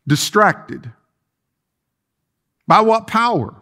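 Middle-aged pastor preaching in a church. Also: male voice, sits high, big pitch swings, extremely slow, bright, forceful tone. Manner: earnest preaching, pacing the floor and gesturing, with repeated restarts. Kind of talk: sermon. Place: large church sanctuary with rows of chairs, indoors.

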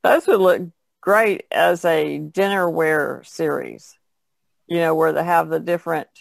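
Very creaky-voiced woman chatting casually. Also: low-pitched, measured pace, no room sound, studio quality. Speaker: podcast guest. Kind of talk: casual chat.

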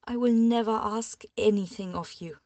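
A woman's voice: mid-range voice, normal speed, mellow, dry recording, close to an everyday voice. A woman voicing a distraught young woman who pleads through tears, her voice trembling and breathless. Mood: desperate pleading. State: crying, hyperventilating.

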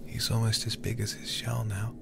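A man voicing a young girl in a horror game, speaking in a soft, breathy, hushed and childlike way. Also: on the low side, flat delivery, moderate pace, noisy background, pitched above the performer's own voice. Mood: eerie, earnest. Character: young girl telling odd tales of her grandpa. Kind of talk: whispered game voice acting.

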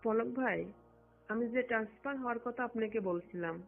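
A woman's voice: on the high side, fairly quick. A woman from Bangladesh talking in a private phone conversation. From Satkhira, Bangladesh. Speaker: phone caller, government employee. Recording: muffled lo-fi phone recording with music.

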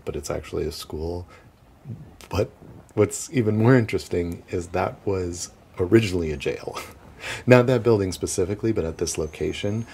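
A young man with a deep, gravelly voice leads a walking tour, talking casually and chuckling as he goes. He is recorded outdoors on city streets by a camera mic on the move. A faint hum of traffic sits behind him. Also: a little mellow. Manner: casual, chatty, laughs often between points. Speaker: tour guide.

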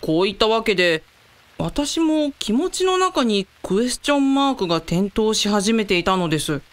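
A woman's voice: mid-range voice, big pitch swings, normal speed, natural voice. A woman playing a polite, anxious young female research scientist in a radio drama. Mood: earnest, explaining.

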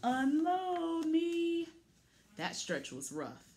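A woman's voice: very high-pitched, huge pitch swings, very slow, darkish tone, a little hiss or hum, voice pushed up, heavily acted. A woman voicing a boastful, plucky little pickup truck from a children's picture book, punchy and full of vocal sound effects. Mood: determined.

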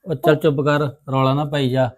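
An actress playing a calm older woman who hushes a younger one, speaking from off-mic. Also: low voice, a little fast. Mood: hushing. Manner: hushing, dismissive.

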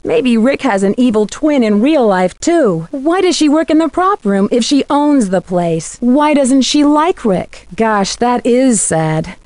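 A woman voicing a bright, inquisitive teenage girl detective in a video game, with an American accent and clear, earnest, conversational delivery. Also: on the high side, very animated, measured pace, few pauses, no echo. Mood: pondering. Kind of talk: scripted video game voice acting.

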